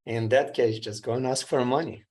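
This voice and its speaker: A man's voice: mid-range voice, fairly even intonation, moderate pace, darkish tone, slightly rough. A middle-aged man from Ukraine with a Ukrainian accent, telling his story as a guest in a plainspoken, slightly broken English. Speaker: guest entrepreneur. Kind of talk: casual interview storytelling.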